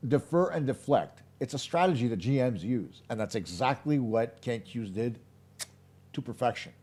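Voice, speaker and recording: A man hosting a live post-game hockey show, his voice hoarse, talking in an emphatic, opinionated way straight to his viewers. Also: medium pitch, animated, moderate pace, darkish tone. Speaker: hockey podcast host.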